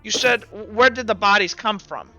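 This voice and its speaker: A man's voice: high voice, normal speed, over music, pitched above the performer's own voice, close to an everyday voice, rough recording. A man voicing a haughty, alarmed plague doctor in a tabletop game, questioning in a clipped, incredulous way. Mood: curious, probing.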